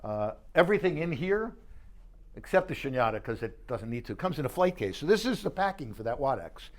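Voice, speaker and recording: A man's voice: mid-range voice, sing-song, fairly quick. A man working as an audio dealer, explaining gear informally and correcting himself as he goes. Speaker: audio dealer giving a product demonstration. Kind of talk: informal show-room product walkthrough. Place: exhibition suite at an audio show.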